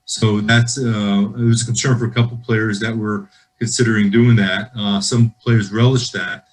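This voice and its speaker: A man's voice: on the low side, level tone, moderate pace, low-quality recording. A man from the football league answering panel questions over a video call, earnest and rambling with frequent restarts.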